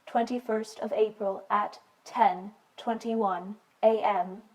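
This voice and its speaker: A woman's voice: mid-range voice, steady tone, slow, a little mellow, close to an everyday voice, rough recording. A woman voicing an impersonal answering machine announcer, formal and matter-of-fact, in a radio play. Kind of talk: scripted voicemail time announcements.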